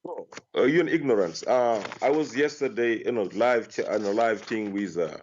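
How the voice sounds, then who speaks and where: A man calling into a debate who talks fast, his pitch jumping around as he argues back. He brushes off his opponent with a rushed, dismissive manner. His line sounds muffled, with faint noise behind him. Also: lively intonation, a little mellow. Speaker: caller. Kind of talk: heated live call-in debate.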